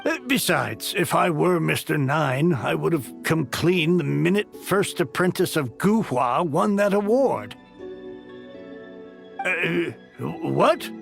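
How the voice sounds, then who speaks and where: Flustered, high-pitched, creaky struggling writer. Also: male voice, lively intonation, slow pace, soft background music, exaggerated voice. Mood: bewildered. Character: flustered, self-deprecating, fussy aspiring male novelist. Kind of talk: animated game voice acting.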